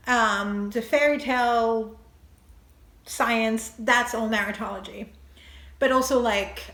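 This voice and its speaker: Brief: woman, slightly high voice, chatty writing lesson, roomy echo. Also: a bit bright. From France. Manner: thinks aloud with many fillers and chuckles. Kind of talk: casual explanatory monologue. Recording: echoey room.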